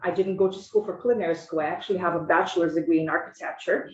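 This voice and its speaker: A woman's voice: fairly low pitch, steady tone, very fast, darkish tone. A woman from Brazil with a Brazilian Portuguese accent, testifying informally and earnestly as a school food service director. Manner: informal, self-correcting, earnest. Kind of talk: committee testimony. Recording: echoey, muffled hearing room audio.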